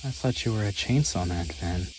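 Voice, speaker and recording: Man as a low-voiced, detached devil hunter. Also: normal speed, a little mellow, slight background noise, natural voice, poor audio. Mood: cool, detached. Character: cool, detached young male government devil hunter. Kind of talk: anime fandub acting.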